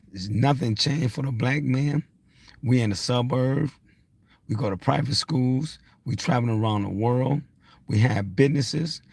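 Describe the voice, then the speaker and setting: A man's voice: mid-range voice, vocal fry, darkish tone, husky, dry recording. A man around sixty giving a blunt, insistent motivational rant, unscripted, hammering points through repetition. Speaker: social media motivational speaker.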